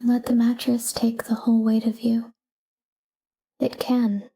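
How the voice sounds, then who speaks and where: A woman guiding a sleep meditation in a calm, hushed, breathy whisper, soothing and soft. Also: fairly high pitch, a little slow, rough recording.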